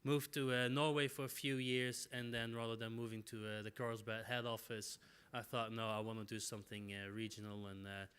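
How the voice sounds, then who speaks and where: A middle-aged man from Belgium giving a conference presentation in a relaxed way, with frequent fillers and restarts. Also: lowish voice, a little fast, smooth. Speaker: conference speaker.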